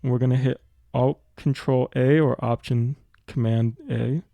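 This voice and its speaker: Mellow-voiced man explaining in a dry recording. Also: mid-range voice, fairly even intonation, unhurried, gravelly, dry recording, highs cut off. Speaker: music production tutor. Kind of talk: casual tutorial explanation.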